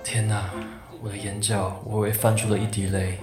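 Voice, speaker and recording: Man playing a talkative, lovestruck schoolboy, low-voiced. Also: flat delivery, moderate pace, close to an everyday voice. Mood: wry musing. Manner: wordy, mock-solemn, theatrical. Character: talkative, lovestruck high school boy, dramatic and earnest. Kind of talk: radio drama dialogue and inner monologue. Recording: music behind the voice.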